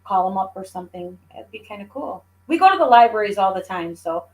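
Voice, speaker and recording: A woman's voice: medium pitch, lively intonation, fairly quick, darkish tone, rough recording. A woman in her forties chatting casually, talking things through in a reflective, explanatory way. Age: 40s. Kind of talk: casual chat.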